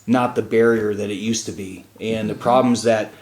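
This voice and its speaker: A man's voice: on the low side, steady tone, normal speed, vocal fry, darkish tone, echoey room, poor audio. A man hosting a casual talk show, explaining things in a chatty way with frequent fillers. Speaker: radio show host and diplomat.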